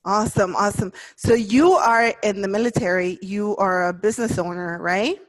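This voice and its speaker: A woman's voice: medium pitch, normal speed, poor audio. A woman hosting a casual interview, asking friendly questions with many hesitations and ums. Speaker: host.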